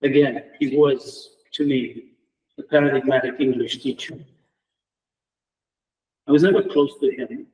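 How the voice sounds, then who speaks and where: A middle-aged man from Romania with a Romanian accent, a native Romanian speaker giving a reflective memorial tribute as an English department lecturer, measured and halting with restarts. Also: medium pitch, fairly even intonation, a little mellow, distant mic, rough recording. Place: lecture room at a memorial round table.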